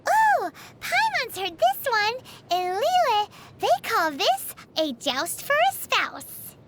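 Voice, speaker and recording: A tiny floating creature from a game, a cheeky and teasing companion. Her voice sits extremely high and swings widely as she chatters, stretching her words out slowly. A faint background hiss is present. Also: huge pitch swings, fairly bright tone, exaggerated voice. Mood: chatty. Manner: bubbly, teasing, refers to herself by name. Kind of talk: video game voice acting.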